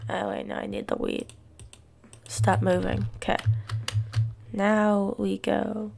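Slow, gravelly young woman streamer. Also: mid-range voice, level tone, darkish tone, slight background noise. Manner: mumbling self-talk. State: recovering from illness. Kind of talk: casual gameplay commentary.

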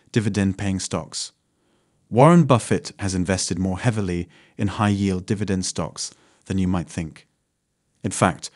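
Guy w/ a lowish voice reading a money script, dry close mic. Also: no room sound. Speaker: narrator of a finance channel. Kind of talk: scripted narration.

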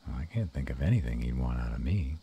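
Man playing a very deep, gravelly were-dragon lover. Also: expressive, mellow, heavily acted. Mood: thoughtful. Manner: slow, halting, intimate murmur. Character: gruff but tender male were-dragon lover. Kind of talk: intimate romantic audio roleplay.

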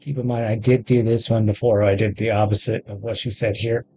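A man's voice: lowish voice, level tone, fairly quick, crowd noise. A man in his thirties giving casual, offhand gameplay commentary as a video host, close to the mic. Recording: game music behind the voice.

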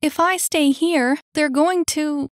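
Feisty runaway girl, acted by a woman narrator: high, sharp, suspicious and defiant storybook heroine. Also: lively intonation, takes it slowly, no echo, studio quality. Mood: anxious, exasperated. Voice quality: high-pitched. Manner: sharp, exclamatory, challenging.

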